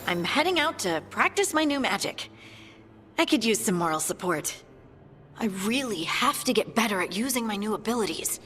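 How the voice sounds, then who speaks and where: A woman's voice: medium pitch, animated, normal speed, a bit bright, slight background noise, natural voice. An actress playing an earnest young woman fighter, unsure of her new magic, speaking hesitantly in a video game cutscene. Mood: self-doubting.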